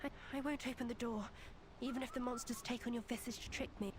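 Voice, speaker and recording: An actress voicing a gentle, earnest young woman survivor in a video game, talking in a pleading, hurried way. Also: on the high side, steady tone, lightly acted. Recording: game audio with noise behind.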